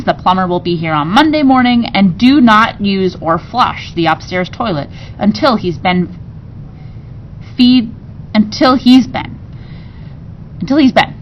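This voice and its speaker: An absent-minded father from a children's storybook, acted out by a woman reading. He is easygoing, halting and given to rambling lists. The recording is dull, with a faint rumble. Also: close to an everyday voice. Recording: muffled recording with rumbling noise.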